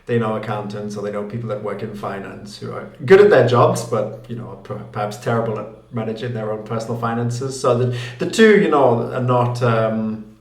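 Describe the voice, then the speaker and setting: A man's voice: fairly low pitch, normal speed. A man, a guest answering interview questions with plenty of fillers and restarts. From Newcastle, England. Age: late 20s. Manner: thoughtful, many fillers and restarts, laughs easily. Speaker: guest, finance YouTuber. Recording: roomy echo.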